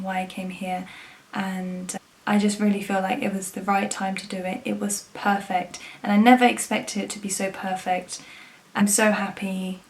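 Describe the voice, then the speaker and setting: A woman's voice: mid-range voice, poor audio. A woman, talking frankly and casually to camera, swearing now and then. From England. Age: 20s. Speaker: vlogger.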